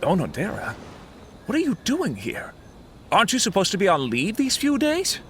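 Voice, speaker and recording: Lively-voiced calm editor. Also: male voice, high voice, normal speed, a little hiss or hum, exaggerated voice. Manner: calm, directive. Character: adult male publishing editor, steady leader. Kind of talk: video game voice acting.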